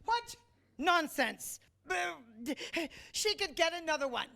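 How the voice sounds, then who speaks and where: A woman's voice: very high voice, big pitch swings, unhurried, bright, forceful tone, exaggerated voice. A woman playing a stern, dismissive authority figure, brusque and emphatic, in a storybook readers' theater. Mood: scornful. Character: stern, dismissive adult authority ordering toys burned.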